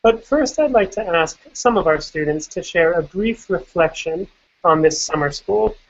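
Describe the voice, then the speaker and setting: Lower-pitched woman moderating a panel. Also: expressive, moderate pace. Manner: polite, organized, formal. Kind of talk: hosting a panel. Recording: slightly muffled recording.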